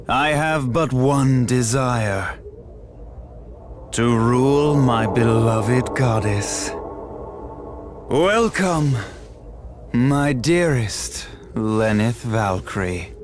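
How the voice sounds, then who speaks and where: A man's voice: medium pitch, expressive, drawn-out words. A man playing a megalomaniac self-proclaimed god in a fantasy game, with pompous, florid, theatrical delivery and lovesick obsession. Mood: silky, welcoming. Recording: voice over music.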